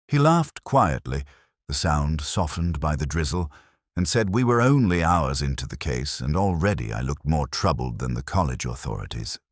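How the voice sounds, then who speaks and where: A man reading scripted first-person detective narration in a measured, literary, brooding manner. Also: a bass voice, no room sound, clean studio sound.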